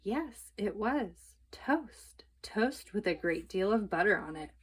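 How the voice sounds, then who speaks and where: A woman reads a children's book aloud for storytime. Her voice sits at a medium pitch as she narrates. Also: animated, takes it slowly, breathy edge. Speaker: storytime reader.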